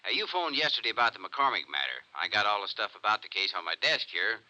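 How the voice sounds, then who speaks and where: A man's voice: on the low side, big pitch swings, quick pace, pushed and bright, full character voice, low-quality recording. A man acting in a 1950s radio drama as a chummy, eager insurance company man with a familiar manner. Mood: eager, friendly.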